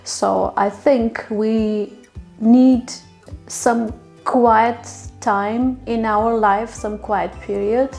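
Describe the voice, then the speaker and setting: A young woman from Bulgaria who grew up in Turkey, answering interview questions slowly with many fillers and restarts. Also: on the high side, steady tone, gravelly, a little mellow, faint music behind. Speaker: interviewee, literary agent.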